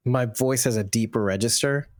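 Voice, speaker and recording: A man's voice: mid-range voice, normal speed, no room sound, clean studio sound. A man hosting a podcast in casual chat, playful and talkative with self-deprecating asides. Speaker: podcast host.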